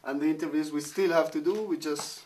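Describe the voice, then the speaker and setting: A twenty-two-year-old man from Spain, explaining things carefully and a little haltingly. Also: a little high, fairly even intonation, darkish tone, slight background noise. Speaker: cameraman. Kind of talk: casual explanation to camera.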